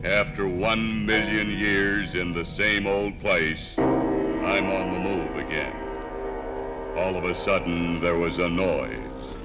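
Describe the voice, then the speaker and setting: Old radio talking iron ore, super low n slow, droll and pompous. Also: male voice. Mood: solemn wonder. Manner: deliberate, pompous, aphoristic. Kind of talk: 1950s radio dramatization. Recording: 1950s radio recording from LP, music.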